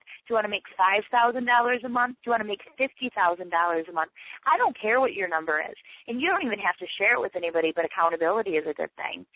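A woman coaching her sales team over a tinny phone line, and the sound is flat and dry with no room around it. She talks in an emphatic, chatty way and drives each point home by repeating it. Her voice sits at an ordinary middle pitch. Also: no echo. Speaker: direct-sales team leader. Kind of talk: motivational sales training talk. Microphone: phone call.

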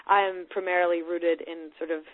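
A woman's voice: lowish voice, level tone, a little fast. A young woman whose first language is Swedish, speaking as an interview guest, thoughtful and hesitant with fillers. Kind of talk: casual interview chat. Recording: tinny telephone line.

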